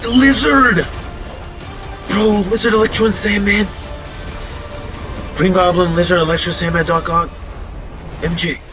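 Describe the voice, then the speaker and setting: A hoarse young man hosting a casual trailer reaction, breaking into excited rapid exclamations. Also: high voice, music in the background. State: hoarse from yelling.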